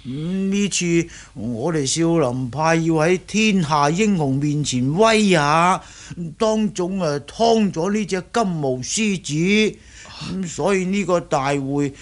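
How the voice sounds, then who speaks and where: A man's voice: fairly high pitch, expressive, slow pace. An actor in a radio drama playing a servile, boastful, cowardly disciple who stammers and exclaims as he fawns. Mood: boastful, fawning. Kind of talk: Cantonese radio drama acting.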